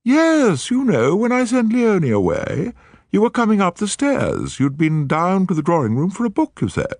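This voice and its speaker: A man's voice: high-pitched, wildly swooping pitch, moderate pace, a little mellow, dry recording, clean studio sound, voice pushed up, heavily acted. A man voicing a nervous aristocratic lady who stammers and sounds breathless.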